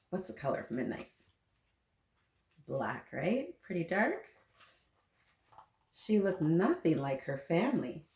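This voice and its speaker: Slow, low-voiced woman reading to children. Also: reverberant. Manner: gentle, encouraging, child-directed questioning. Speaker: children's story-time host reading aloud. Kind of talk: picture-book read-aloud with asides and questions to children.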